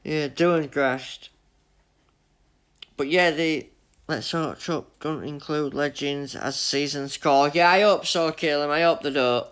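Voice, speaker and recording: A man's voice: fairly high pitch, steady tone, slow, fairly bright tone. A young man with a Yorkshire accent chatting casually with his live-stream viewers while playing a racing game, greeting them by name and laughing at his own luck. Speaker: gaming livestreamer.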